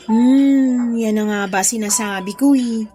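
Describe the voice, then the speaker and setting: A woman's voice: a little high, a little slow, dark tone, faint music behind, close to an everyday voice. A woman with a Filipino accent playing a supportive, curious young best friend in a radio drama, teasing and reassuring.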